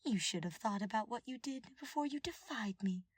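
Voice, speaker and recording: A woman voicing a smug, mocking female royal advisor who gloats in a drawn-out, scheming way in an audiobook-style story. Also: fairly high pitch, lively intonation, breathy edge, no room sound, studio quality, pitched above the performer's own voice. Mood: gloating.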